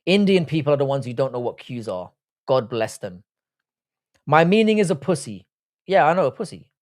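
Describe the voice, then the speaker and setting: A British man in his forties hosts a live chat at a middling pitch. He talks casually and bluntly, answering comments as they come. His manner is crude and jokey, full of everyday slang. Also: sing-song, normal speed. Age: early 40s. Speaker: livestream host.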